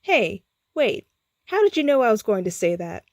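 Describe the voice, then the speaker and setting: A woman voicing a muscle-bound male bully who shouts slangy threats. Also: medium pitch, big pitch swings, normal speed, dry recording, clean studio sound. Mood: threatening. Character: brash, bullying muscle-bound man, a jerk.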